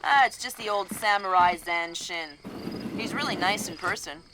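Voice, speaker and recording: A high-voiced young male operative played by an actor chats eagerly and guilelessly, as in a spy video game. Clear background noise surrounds him. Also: moderate pace, lightly acted. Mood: light, chatty.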